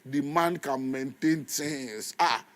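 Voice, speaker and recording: A Nigerian man in his fifties preaching a sermon as pastor, animated, dropping into Pidgin asides and laughing often. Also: mid-range voice, takes it slowly.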